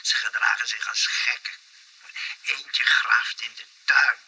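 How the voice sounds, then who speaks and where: A man's voice: medium pitch, flat delivery, slow pace, sharp tone, husky, full character voice, poor audio. An actor playing a grumpy, meddling male neighbour and landlord who complains indignantly in a radio comedy. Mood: indignant complaining. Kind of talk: Dutch radio comedy drama acting.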